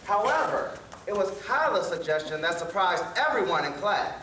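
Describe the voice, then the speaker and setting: High-voiced man as children's storyteller, echoey. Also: very animated, normal speed, bright, forceful tone, far from the mic, full character voice. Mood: storytelling. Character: storyteller narrating a children's undersea tale. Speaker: narrator. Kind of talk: scripted stage storytelling. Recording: echoey, noisy hall from afar.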